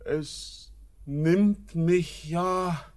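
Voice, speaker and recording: A young actor playing an orphaned sailor, torn between pleading and dread, in a classic radio play. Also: male voice, on the high side, drawn-out words, a little mellow. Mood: anxious.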